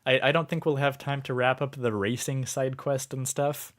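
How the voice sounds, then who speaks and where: A man's voice: mid-range voice, level tone, moderate pace, fairly bright tone. Sick man rambling over gameplay. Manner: rambling, musing aloud with many fillers. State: sick, starting to lose his voice. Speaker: gaming livestreamer. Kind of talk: casual gameplay commentary.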